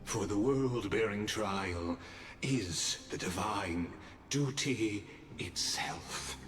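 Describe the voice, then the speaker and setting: An actor playing a calm, scheming male oracle in a game cutscene, with measured, grandiose delivery. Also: fairly low pitch, a little hiss or hum, heavily acted. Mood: solemn. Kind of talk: voiced game cutscene dialogue.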